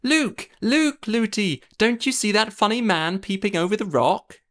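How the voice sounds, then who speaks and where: A man voicing a small princess girl who chatters breathlessly in a high voice. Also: lively intonation, a little slow, a bit bright. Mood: chattering.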